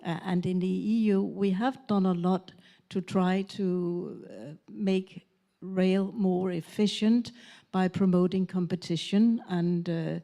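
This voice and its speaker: Low mellow woman on a panel. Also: gravelly. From Denmark. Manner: measured, thoughtful, pauses with fillers. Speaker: conference panelist, former parliament member. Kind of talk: conference panel discussion.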